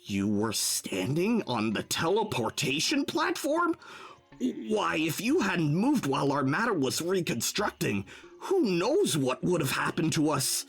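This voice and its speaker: Guy voicing nervous assistant man, fussy n polite, slow w big swoopy pitch, audio drama. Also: wildly swooping pitch, creaky, breathy edge, soft background music, heavily acted. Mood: horrified. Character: nervous, fretful male lab assistant, easily horrified. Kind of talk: fan audio drama acting.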